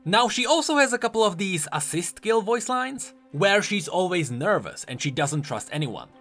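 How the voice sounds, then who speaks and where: Animated, bright-voiced man doing explanatory commentary. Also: high voice, moderate pace, faint music behind. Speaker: YouTube game lore host.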